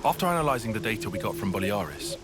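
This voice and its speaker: A man's voice: lowish voice, big pitch swings, rapid-fire. A man voicing a composed, analytical young tactician in a game, with precise, formal explanations. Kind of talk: video game cutscene acting.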